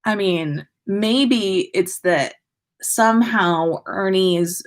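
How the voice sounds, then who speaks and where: A woman's voice: medium pitch, extremely slow. A woman hosting a livestream, reading stories and chatting casually in a playful manner. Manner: giggly, playful. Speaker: podcast host reading listener stories.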